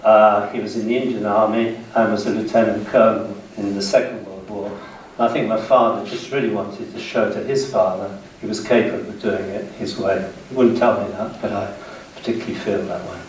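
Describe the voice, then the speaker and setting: A man in his sixties with a British accent gives a public history lecture in a measured way, pausing between short phrases. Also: fairly low pitch, fairly even intonation. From United Kingdom, west of London. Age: 60s. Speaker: guest lecturer. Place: indoor seminar room, speaking to an audience. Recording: very echoey room, distant mic, faint noise.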